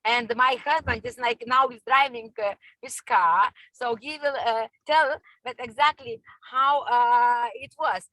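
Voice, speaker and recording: A woman in her sixties with a Lithuanian accent, a non-native English speaker, talking haltingly with many fillers as a guest on a video call. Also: on the high side, bright, forceful tone, no echo, rough recording. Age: 60s. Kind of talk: online meeting talk.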